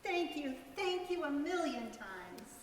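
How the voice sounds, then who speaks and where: A woman reading the part of a gentle, grateful mother in a storybook. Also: high voice, very animated, slow pace, a little hiss or hum, full character voice. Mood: grateful, concerned. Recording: very echoey hall, distant mic.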